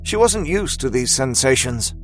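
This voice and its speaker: A man narrating a scripted dramatic story with deliberate, literary phrasing. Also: mid-range voice, lively intonation, faint music behind.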